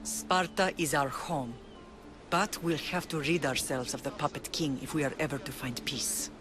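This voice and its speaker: Woman acting a measured, proud warrior mother. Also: low-pitched, close to an everyday voice. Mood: wistful. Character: stern, proud, loving middle-aged Spartan warrior mother. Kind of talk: cinematic video game acting. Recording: music under the voice.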